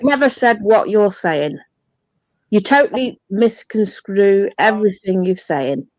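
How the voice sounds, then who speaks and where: A woman's voice: medium pitch, animated, unhurried, darkish tone, no room sound, poor audio. A British woman arguing heatedly in a blunt, indignant, foul-mouthed manner. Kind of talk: heated argument.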